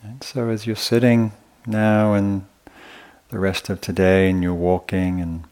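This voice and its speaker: A man teaching meditation in a gentle, unhurried way, often pausing between short phrases as he gives a guided talk at a retreat. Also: on the low side, flat delivery, smooth.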